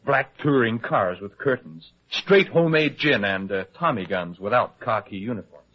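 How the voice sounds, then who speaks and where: Husky male voice, actor as wisecracking eager tabloid reporter, theatrical, lively intonation, dull old radio recording. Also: mid-range voice, normal speed, lightly acted. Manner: glib, teasing, theatrical. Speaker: newspaper reporter. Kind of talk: old-time radio detective drama acting.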